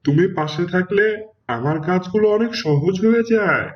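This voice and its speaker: A man voicing an affectionate, devout father in an Islamic moral cartoon, gentle and doting, on a muffled recording. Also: high-pitched, expressive, normal speed. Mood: grateful, fond. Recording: muffled, slightly distant, noisy ambience.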